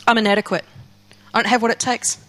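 A woman's voice: on the high side, little pitch movement, quick pace, a bit bright. A woman preaching a passionate sermon, voicing Moses's pleas in the first person. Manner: impassioned, acts out Moses pleading in first person.

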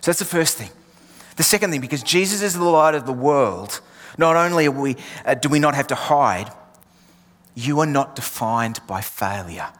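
A man's voice: medium pitch, lively intonation, normal speed, fairly bright tone. Australian man preaching in a reverberant hall. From England. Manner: emphatic, repeats refrains, drops to near whisper. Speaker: pastor. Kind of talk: sermon.

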